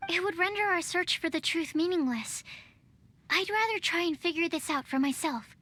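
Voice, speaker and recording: A woman's voice: very high-pitched, animated, moderate pace, fairly bright tone. A woman voicing a shy, earnest young female alchemist in a video game, hesitant and thinking aloud. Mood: musing. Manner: hesitant, musing, overthinking. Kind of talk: game voice acting.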